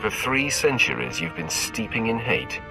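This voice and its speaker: Very deep, imperious dark warrior. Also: male voice, very animated, moderate pace, over music, rough recording. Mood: disdainful. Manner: commanding, disdainful. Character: imperious, scornful young Sith warrior man. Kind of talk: video game voice acting.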